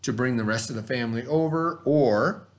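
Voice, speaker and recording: Male teacher, medium-pitched, instructional read-aloud delivery with explanatory asides and questions. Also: normal speed.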